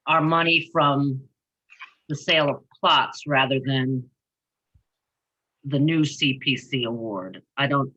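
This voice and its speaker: Bright-voiced woman chairing a meeting. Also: low-pitched. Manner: firm and businesslike. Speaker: commission chair. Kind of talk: running a public meeting. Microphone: video call. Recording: muffled video-call audio.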